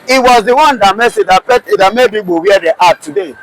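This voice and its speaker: Man, Nigerian accent, high-pitched, impassioned, rhetorical, faint street noise. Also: fairly quick, poor audio. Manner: impassioned, rhetorical questions, repeated restarts. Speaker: interviewee. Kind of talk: heated street interview.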